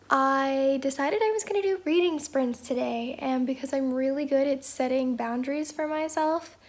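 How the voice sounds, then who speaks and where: A woman in her thirties with a hoarse voice from a cold, talking casually and hesitantly as a vlogger at home. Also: high voice. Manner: rambling and hesitant, many fillers. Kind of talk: casual chat.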